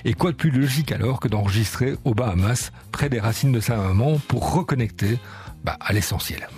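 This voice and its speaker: Male radio host, mid-pitched, chatty storyteller, lots of fillers, faint music underneath. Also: brisk. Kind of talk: casual radio segment presenting a musician.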